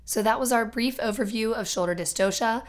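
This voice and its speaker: A young woman with a hoarse voice from a cold, hosting and explaining a medical teaching podcast. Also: on the high side, fairly quick. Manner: clear explanatory delivery. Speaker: podcast host.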